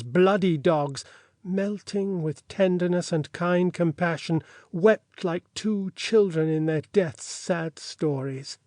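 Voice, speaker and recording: A man reading an audiobook in a measured, formal and theatrical way, as a scripted literary narration. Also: on the high side, darkish tone, dry recording, studio quality.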